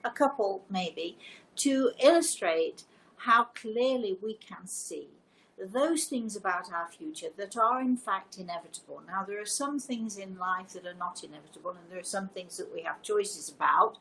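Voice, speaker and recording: An older woman hosting a casual livestream, chatting to viewers in a deliberate way with emphatic repetition. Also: medium pitch, expressive, a bit bright, rough recording. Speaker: live show host and storyteller. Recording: noticeable background noise.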